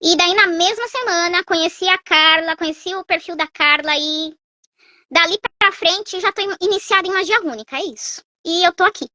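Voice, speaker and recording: Very high bright-voiced Brazilian woman. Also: normal speed, no echo. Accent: Brazilian Portuguese. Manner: patient explainer who leans on analogies and frequent tag questions. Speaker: guest rune and oracle reader. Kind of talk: live stream conversation.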